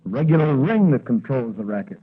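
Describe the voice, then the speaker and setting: A man's voice: medium pitch, sing-song, a little fast, breathy edge. An actor playing a confident young patrolman in a 1940s radio adventure, explaining things in a matter-of-fact way. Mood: matter-of-fact, earnest. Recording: muffled, band-limited vintage radio recording.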